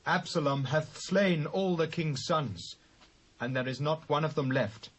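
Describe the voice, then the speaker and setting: A British actor playing an urgent royal courtier in a dramatised Bible, speaking formal archaic English. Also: male voice, fairly high pitch, a little slow. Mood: alarmed. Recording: dull old cassette transfer.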